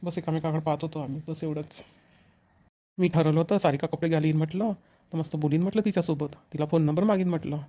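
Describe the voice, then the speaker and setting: Casting: male actor as young village groom-to-be for cartoon, Vidarbha Marathi, earnest and eager, very brisk pace. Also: fairly high pitch, fairly even intonation, dark tone, lightly acted. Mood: musing, eager. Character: eager young village bridegroom, a dutiful son. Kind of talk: amateur cartoon dialogue.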